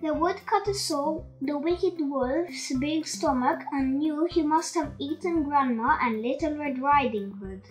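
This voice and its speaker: A woman with a high voice reads a children's story aloud at a slow, deliberate pace. She enunciates each phrase for young listeners, with music playing behind her. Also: a bit bright, low-quality recording. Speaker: storyteller and quiz host.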